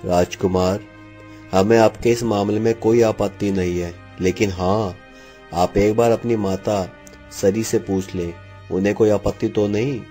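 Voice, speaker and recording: A man's voice: lowish voice, fairly even intonation, measured pace, dark tone, faint music behind, natural voice. A man voicing an authoritative, decisive king and father with formal, commanding speech in a cartoon tale. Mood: agreeable. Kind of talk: Hindi cartoon fairy-tale dialogue.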